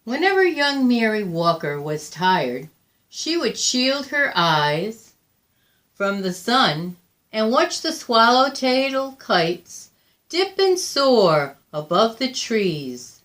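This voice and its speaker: A woman reading a children's picture book aloud with deliberate, expressive phrasing. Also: on the high side. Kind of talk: read-aloud children's storytelling.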